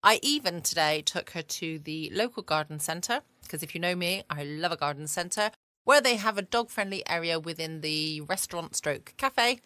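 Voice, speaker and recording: Bright-voiced southern English woman, chatty host. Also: lowish voice, expressive, moderate pace, no echo. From England. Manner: chatty, rambling, playful. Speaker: podcast host. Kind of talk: casual bedtime podcast chat. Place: small home studio.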